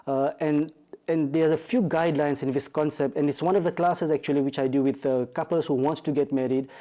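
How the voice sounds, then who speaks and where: A man from Mauritius with a Mauritian accent, a marital counselor speaking as a guest on a talk show, measured with frequent hesitations and restarts. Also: fairly high pitch, dark tone. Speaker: marital counselor and Islamic studies teacher.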